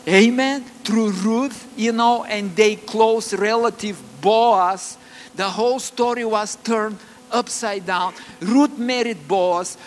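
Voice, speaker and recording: A man with a Bulgarian accent preaching a sermon, earnest and halting, with many fillers and restarts. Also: sits high. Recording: long reverb, faint ambient noise.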